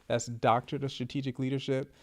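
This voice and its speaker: A young adult man hosting a podcast, upbeat and energetic as he introduces the show and questions his guest. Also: medium pitch, fast. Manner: upbeat, energetic, laughs often. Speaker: podcast host.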